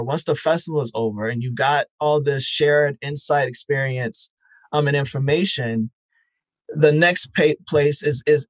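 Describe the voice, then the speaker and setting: A man's voice: mid-range voice, no room sound, rough recording. A man, founder of a podcast festival, answering interview questions in a reflective way with many fillers and restarts.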